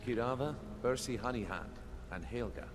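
An actor playing a brisk, menacing thieves' guild recruiter in a video game, measured, commanding and a little mocking. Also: male voice, medium pitch, close to an everyday voice. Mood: businesslike, menacing. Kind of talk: video game character dialogue. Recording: noisy game audio with ambience.

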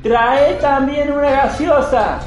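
An eager, helpful family youngster played by a woman in a radio play. The voice is high and unhurried, in an echoey room. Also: muffled recording. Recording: echoey room, music behind.

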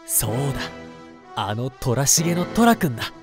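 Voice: medium pitch, big pitch swings, unhurried, over music. An actor voicing a confident, terse teenage boy strategist in an anime voice drama, with self-assured delivery. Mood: cool.

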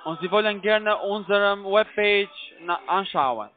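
A man with a distinctly high voice comes through a narrow, tinny phone line. He has a foreign accent in his German and works as a call center tech agent. He gives instructions piece by piece, tagging them with little check questions. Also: slow. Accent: foreign, non-native German. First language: not German. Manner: repetitive, keeps checking with tag questions.

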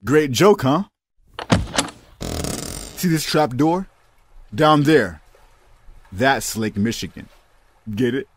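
An actor playing a cruel, gloating gangster boss in an audio drama, sneering and taunting. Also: male voice, medium pitch, animated, slow pace, long pauses, slight background noise.

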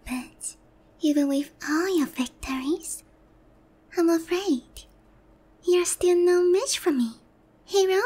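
A very high, girlish-pitched demon queen, acted by a woman for roleplay ASMR. She speaks slowly and theatrically, often slipping into breathy near-whispers. Her haughty, teasing pride covers a lonely, easily flustered heart. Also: warm tone. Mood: haughty, commanding.

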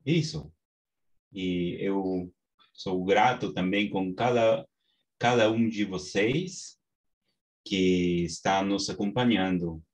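Slow, low Peruvian man teaching online. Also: dull audio. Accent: Peruvian Spanish. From Peru. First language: Spanish. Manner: slow and halting, many restarts. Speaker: course instructor. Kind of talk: online class. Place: small home study with bookshelves. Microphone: webcam on video call.

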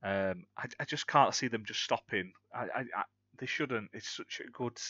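A man's voice: fairly low pitch, moderate pace, bright, forceful tone, breathy edge, no echo, dull audio. A British man from the UK co-hosting a gaming podcast, chatting casually and rambling through news with frequent restarts. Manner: rambling, self-correcting, chatty. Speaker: podcast co-host. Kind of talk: casual chat.

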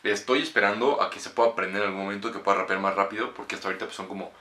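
A man's voice: fairly low pitch, steady tone, rapid-fire, pushed and bright. A man in his twenties with a Mexican accent, chatting casually and with slang as he gives a music reaction. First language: Spanish. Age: 20s. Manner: informal, slangy, swearing. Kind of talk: casual reaction and review chat. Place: small bedroom, indoors. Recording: roomy bedroom echo, faint music.

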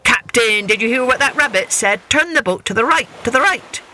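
A woman's voice: fairly high pitch, animated, brisk, bright, forceful tone, a little hiss or hum, pitched above the performer's own voice. A woman voicing a vain, crafty male dog in a children's story, urgent and self-important. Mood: sly urgency.

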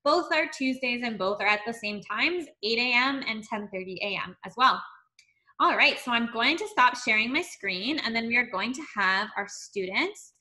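Bright upbeat woman hosting a webinar. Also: fairly high pitch, expressive, normal speed. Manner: upbeat, many fillers. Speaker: webinar host. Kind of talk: hosting a video call Q&A.

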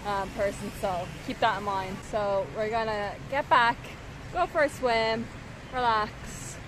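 Young woman travel vlogger, chatty and practical, mid-pitched, outdoor noise behind. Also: steady tone, measured pace. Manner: chatty, upbeat, practical tips. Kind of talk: casual travel vlog chat. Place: outdoors on a hot day. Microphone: handheld camera at arm's length.

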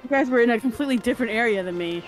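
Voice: medium pitch, very fast, faint music behind. A co-host chatting playfully while gaming, giggling and swearing now and then. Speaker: co-host and gamer. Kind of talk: casual gaming chat.

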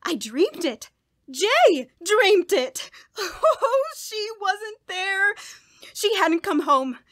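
An actress playing a guilt-ridden, unraveling woman in a radio horror play, telling her story in a halting, dramatic way. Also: extremely high pitch, very animated, fairly bright tone, dry recording, clean studio sound, heavily acted. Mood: hysterical, appalled. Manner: halting, repeating words, breaking into nervous laughs and gasps. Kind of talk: dramatic first-person horror monologue in old-radio style.

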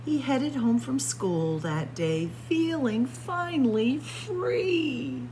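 A woman reading a picture book aloud in a dramatic, suspenseful story-time style, sometimes hushed for emphasis. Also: high-pitched, extremely slow, noisy background. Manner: suspenseful, expressive, drops to hushed whispers for emphasis. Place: indoor library room with bookshelves and windows.

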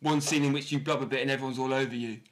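A man's voice: medium pitch, steady tone, very fast, background noise, natural voice. A man playing a spiteful, resentful pantomime ugly stepsister with a sarcastic, grumbling manner. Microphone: video call.

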